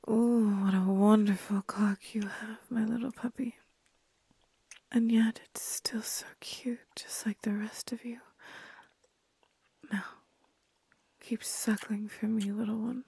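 A woman voicing a doting, motherly female creature with wings and a tail, in a soft, breathy, soothing whispered ASMR roleplay. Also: fairly high pitch, level tone, takes it slowly, long pauses, no echo, full character voice. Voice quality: breathy, husky.

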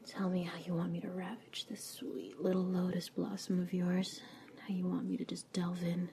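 A woman playing a coaxing, indulgent teacher in an intimate roleplay, speaking in a soft, breathy whisper and murmuring slowly. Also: on the low side, monotone. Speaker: audio roleplay performer.

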